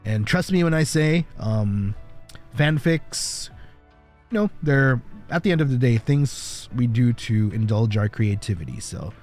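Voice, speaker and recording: A man streaming and chatting casually with his viewers, rambling, reassuring and quick to laugh. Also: medium pitch, animated, darkish tone, soft background music.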